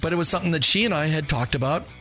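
A man's voice: on the high side, very fast, sharp tone, faint music behind. A man co-hosting a faith-based business talk show, upbeat and chatty as he plugs a sponsor. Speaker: radio show co-host.

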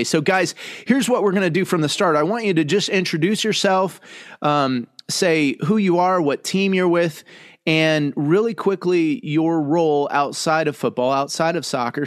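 A man's voice: fairly high pitch, no room sound, clean studio sound. A man hosting a podcast in a chatty, joking way, wandering into asides as he introduces his guests. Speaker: podcast host and chaplain. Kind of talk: casual podcast chat.

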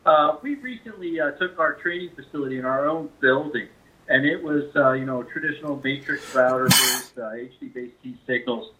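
A man speaking as a company representative, giving a conversational product pitch as an interview guest over a phone line. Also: fairly quick, pushed and bright. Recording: tinny narrow-band phone line.

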